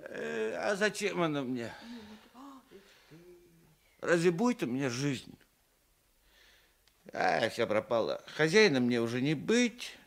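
A weak-willed peasant husband deep in drink and despair. His high male voice stammers and repeats itself with raw emotion, in the manner of a radio drama. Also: big pitch swings, slow, gravelly, long pauses, exaggerated voice. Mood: drunken despair. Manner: stammering, emotional, slurred repetitions. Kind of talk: Russian radio drama acting.

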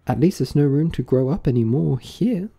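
Guy putting on a curious little storybook girl, higher lilting voice, prim, musing to herself. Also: expressive, moderate pace, gravelly, mellow, husky, heavily acted. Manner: musing, prim, self-questioning.

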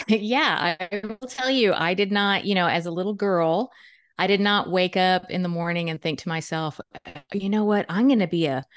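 A woman's voice: fairly low pitch, normal speed, dry recording. Chatty woman guest, dry close mic. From United States. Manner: chatty, laughs often, many restarts. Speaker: podcast guest, chicken educator. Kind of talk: casual interview storytelling. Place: home room with bookshelves. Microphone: studio mic on video call.

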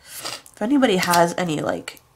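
Young woman, nasal from a stuffed-up nose, rambling planner chat at a desk, slightly low voice, faint noise behind. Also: huge pitch swings, moderate pace. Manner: rambling, chatty, with many fillers. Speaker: planner vlogger. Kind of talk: casual chat while decorating a planner. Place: at a desk indoors, hands on a planner.

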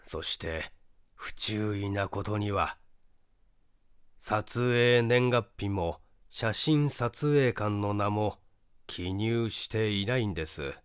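A man playing a grave, regretful brother who explains in a measured, halting way, in a story reading. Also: fairly low pitch, smooth, dry recording, clean studio sound. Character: grave, regretful brother recounting a family mystery.

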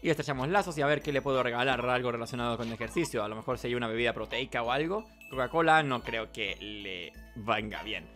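A man's voice: mid-range voice, fast, few pauses, bright, forceful tone. A man streaming a video game, reading narration aloud and adding casual commentary. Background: game music.

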